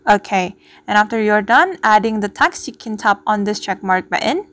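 A woman presenting a step-by-step software tutorial as the host. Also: medium pitch, lively intonation, moderate pace, no room sound. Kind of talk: spoken screen-recording tutorial.

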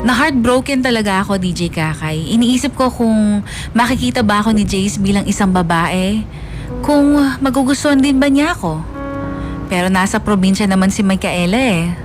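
A woman's voice: medium pitch, lightly acted. A woman playing a lovesick, jealous young woman, narrating her own story emotionally in a radio drama. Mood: bitter, hopeful. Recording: music bed behind the voice.

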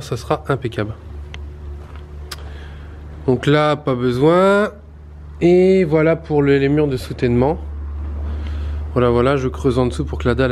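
A man's voice: mid-range voice, darkish tone, a little hiss or hum. A man in his thirties filming a casual walkthrough of his renovation work in a stone basement, explaining as he goes with many fillers and restarts. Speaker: renovation vlogger. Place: stone-walled basement under renovation, indoors. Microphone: handheld camera, close to face.

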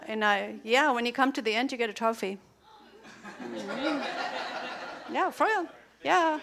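A woman with a slightly high voice presents to a hall full of teachers. German is her first language, and she keeps it casual and chatty. She throws quick questions at the audience and laughs as she goes. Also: vocal fry, background noise. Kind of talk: conference workshop talk. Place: large lecture hall.

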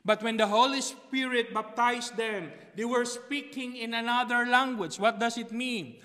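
Filipino pastor man preaching in an empty church, high-ish voice, long echo off the walls. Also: moderate pace, pushed and bright. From Philippines. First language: Tagalog. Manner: preaching with wide hand gestures, repeating key phrases. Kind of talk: sermon. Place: empty church sanctuary behind a pulpit. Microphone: pulpit mic on a stand, far camera. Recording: long reverb of a church hall.

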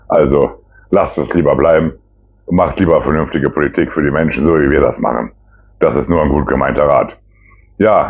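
Guy in his 50s w really deep voice, grumbling bluntly about politics to camera, kinda dull lo-fi audio. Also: darkish tone. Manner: blunt, colloquial, disapproving. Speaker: politician hosting a weekly news commentary. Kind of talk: informal political commentary to camera. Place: small plain indoor room.

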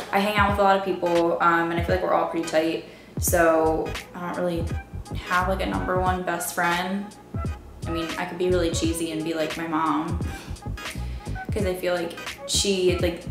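A woman from Maryland rambling casually to camera in a vlog, full of "like" fillers. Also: fairly low pitch. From Baltimore area, Maryland. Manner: rambling, filler-heavy "like" talk. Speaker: vlogger. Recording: roomy echo with music behind.

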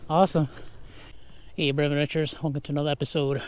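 A man's voice: a little high, little pitch movement, fast talker, smooth. A man chatting casually as host of a fishing vlog, easygoing and encouraging. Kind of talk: casual outdoor vlog chat. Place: frozen lake and ice fishing tent.

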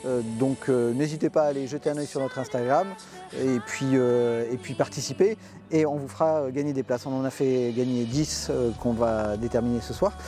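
A man's voice: medium pitch, fairly even intonation, moderate pace, mellow, soft background music. An older man hosting a camper van vlog, talking outdoors by a motorhome on a lapel mic, with many hesitations. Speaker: camper van channel host. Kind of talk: casual vlog presenting and interviewing. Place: outdoors by a motorhome at a trade show car park.

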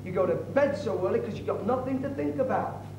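A man's voice: extremely high pitch, fast talker, creaky, lo-fi sound. An actor playing an idealistic young man who earnestly lectures his father. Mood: preachy. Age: young adult. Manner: earnest, preachy. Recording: distant, echoey, noisy.

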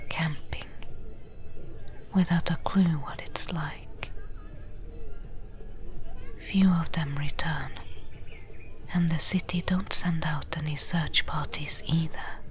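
Woman acting a whispering, slow, low-voiced desert trader. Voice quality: breathy whisper. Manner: slow, gentle, unhurried. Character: friendly seasoned woman desert trader, reassuring travel companion. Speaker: ASMR roleplay performer. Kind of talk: soft-spoken whispered ASMR roleplay.

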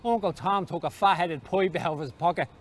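A man's voice: high voice, a little fast, slight background noise. A man in his forties with an Irish accent, reading literary narration expressively outdoors into a lapel mic. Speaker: story reader. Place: outdoors on stone steps in a city street.

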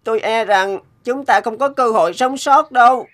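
A woman's voice: a little high, normal speed, natural voice. A woman voicing a timid, wounded deer with a plaintive manner in a cartoon. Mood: fearful. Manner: plaintive, pleading. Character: frightened, grateful wounded deer. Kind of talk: cartoon voice acting.

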